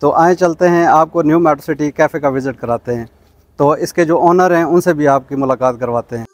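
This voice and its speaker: Higher-pitched Pakistani man presenting a vlog. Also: brisk, darkish tone. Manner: polite, salesy, mixes in English words. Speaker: host of a property channel. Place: outdoors in front of a small cafe storefront. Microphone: lapel mic.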